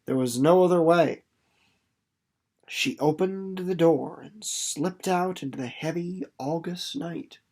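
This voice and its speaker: A storyteller with a medium-pitched voice reads literary fiction aloud from a script. The delivery is dramatic, shaping each phrase for suspense by easing off in speed and volume. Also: slow, a little mellow. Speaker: audiobook narrator reading a novel chapter.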